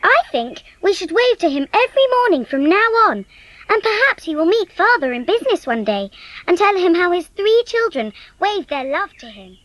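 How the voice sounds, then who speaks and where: A woman's voice: very high-pitched, very animated, normal speed, fairly bright tone, no room sound, pitched above the performer's own voice, full character voice, poor audio. A woman voicing an imaginative, eager young girl with a British accent, earnest and full of wonder, in a dramatised storybook. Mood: earnest, hopeful.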